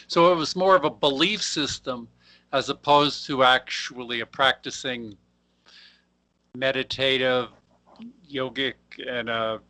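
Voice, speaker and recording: A man hosting an interview, asking questions in a halting way and rephrasing mid-sentence. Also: mid-range voice, steady tone, bright, forceful tone, poor audio. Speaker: interview host. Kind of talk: conversational interview.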